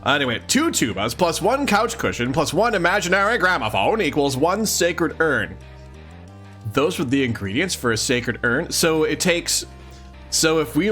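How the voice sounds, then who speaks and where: Man, highish voice, very animated delivery, casual streamer read-along, incredulous asides, music in the background. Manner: animated, expressive reading with mock-incredulous asides. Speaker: streamer reading a comic aloud.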